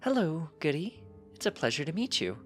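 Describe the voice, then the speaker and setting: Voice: mid-range voice, big pitch swings, moderate pace, fairly bright tone, soft background music, voice pushed up. A narrator voicing a friendly, inquisitive young pony princess, polite, earnest and talkative, in a story reading.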